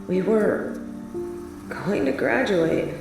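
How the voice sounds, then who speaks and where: Casting: woman to play fed-up, defiant young adult daughter of a rich family, audio roleplay; mid-range, unhurried, sardonic and emphatic. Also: medium pitch, animated, creaky, music in the background, exaggerated voice, low-quality recording. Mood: wistful. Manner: sardonic, sweary, emphatic delivery. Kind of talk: scripted dramatic audio roleplay.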